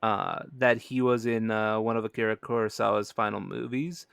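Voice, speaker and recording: A man's voice: on the low side, little pitch movement, normal speed, gravelly, a bit bright, clear, resonant tone, no echo, studio quality. A man on a podcast panel, discussing a film in a casual, conversational way.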